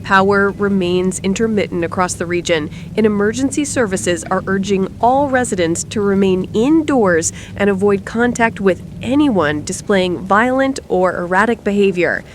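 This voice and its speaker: A woman's voice: mid-range voice, lightly acted. Composed female news anchor played by an actress, noisy backdrop. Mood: grave, composed. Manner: formal, measured newsreader delivery. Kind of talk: scripted breaking-news narration.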